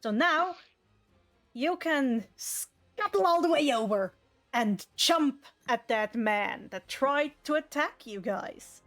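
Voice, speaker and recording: Woman game master, high pitched, measured pace, narrating tabletop roleplay over soft music. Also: lively intonation.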